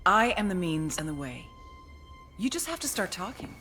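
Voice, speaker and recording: A covert agent with a slightly low voice. She is cool, tough and calculating, controlled and firm as she coaxes, in an action game drama with faint music behind. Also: sing-song, normal speed, pushed and bright, exaggerated voice. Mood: firm, coaxing.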